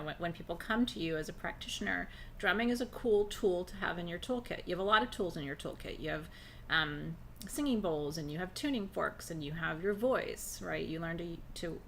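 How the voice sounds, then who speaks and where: A middle-aged woman with a naturally low voice teaching a relaxed, conversational class on spiritual practice, pacing her words deliberately. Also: slight background noise. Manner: conversational, intentional pacing, laughs often. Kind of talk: live online class on shamanic drumming.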